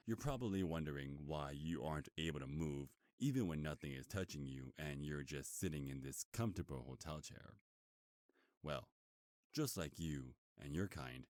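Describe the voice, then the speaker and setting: A cocky werewolf hunter acted by a man with an extremely deep, booming voice, recorded dry and close. He taunts his captive with slow, smug menace in an ASMR roleplay. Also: a little mellow, no echo.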